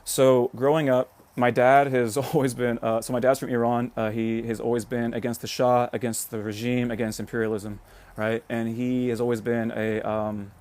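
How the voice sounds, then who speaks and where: A man's voice: medium pitch, little pitch movement, moderate pace, a little mellow. An American man hosting a casual political livestream. He rambles and swears, keeps checking in with "right?", and stops to greet commenters. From United States. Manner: reads out and answers viewer comments. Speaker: livestream host.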